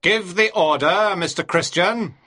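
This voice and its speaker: A woman's voice: on the low side, a little slow, a bit bright, breathy edge. A woman voicing a stern, unbending male ship's captain in a storybook, commanding and curt. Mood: stern, angry.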